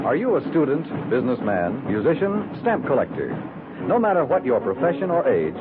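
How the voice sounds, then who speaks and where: A man reading scripted announcements in the style of a 1950s radio announcer. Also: mid-range voice. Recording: phone-like 1950s radio recording with music.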